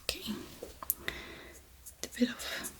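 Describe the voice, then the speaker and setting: A woman speaking in a breathy whisper, gently murmuring through an ASMR personal attention roleplay with soft pauses. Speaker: ASMR creator playing an ear decorator.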